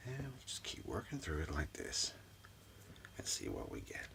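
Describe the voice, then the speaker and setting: A man with an extremely deep, crackling voice gives a soft ASMR healing session, often dropping into a whisper. He murmurs slowly and soothingly in short, repeated phrases, with a breathy edge. It is recorded close up in a small room at home. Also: huge pitch swings. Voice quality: whispery, breathy, low and gravelly. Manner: soothing, repetitive, unhurried, murmuring. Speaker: ASMR performer giving a reiki session. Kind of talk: soft-spoken ASMR role of energy healer.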